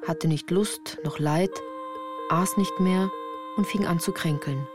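An actress playing a sensitive woman recalling her youth, narrating a literary radio drama in a measured, reflective way. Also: on the low side, music in the background. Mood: subdued.

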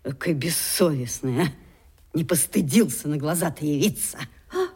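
A woman's voice: sits low, animated, normal speed, mellow, husky, full character voice. An actress playing a nosy, folksy old mother in a radio drama, fussing and hesitating with many interjections. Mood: nosy, indignant, then fussily welcoming. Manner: hesitant, fussy, colloquial, full of interjections. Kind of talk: theatrical Russian radio drama acting.

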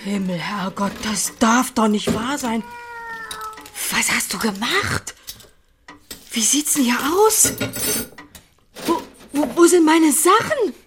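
A woman acting a blunt, earthy, solitary farmer in a naturalistic radio drama, with a husky voice. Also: fairly high pitch, animated, slow pace, pauses often, background noise. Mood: outraged.

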